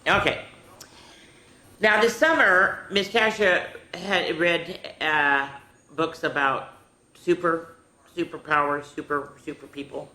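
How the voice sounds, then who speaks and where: A woman reading picture books aloud to children, stopping for chatty asides to her young listeners. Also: slow pace. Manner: animated, switches into higher voices for characters. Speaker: children's storyteller. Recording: echoey room with faint ambient noise.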